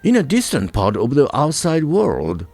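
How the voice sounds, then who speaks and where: A man voicing a brave, polite fifteen-year-old folk hero in a storybook, earnest and deliberate. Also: mid-range voice, huge pitch swings, vocal fry, a little mellow, no room sound, soft background music, pitched above the performer's own voice, heavily acted. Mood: earnest, resolute. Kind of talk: storybook character voice.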